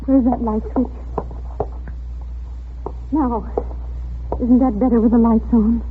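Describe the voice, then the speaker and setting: A woman's voice: a little high, steady tone, takes it slowly, background noise. An actress playing an anxious, earnest, urgent landlady in a 1940s radio drama. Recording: narrow, muffled 1940s radio recording.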